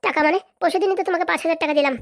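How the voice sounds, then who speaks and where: Thrifty, scolding comedy-cartoon wife, acted by a woman: high, rapid-fire, exasperated delivery. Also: vocal fry. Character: thrifty, scolding wife refusing her husband money.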